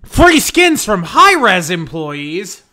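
A man's voice: sits high, huge pitch swings, bright, forceful tone. A man co-hosting a game stream, joking and riffing in casual banter. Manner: joking, playful, quick to riff. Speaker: stream co-host.

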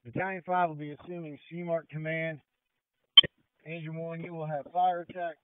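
A man's voice: a little high, little pitch movement, moderate pace, smooth, dry recording. A man speaking as a fire officer over two-way radio in terse, procedural emergency phrasing. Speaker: fire officer on incident command. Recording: tinny narrow-band radio transmission.

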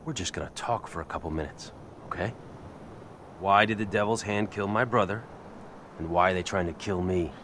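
Curt American biker antihero, very low voice, deadpan, menacing, faint noise, game cutscene. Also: male voice, normal speed, long pauses. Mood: cold, menacing. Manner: terse, deadpan, threatening. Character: tough brooding vengeful biker hero, curt and menacing. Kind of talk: video game cutscene acting.

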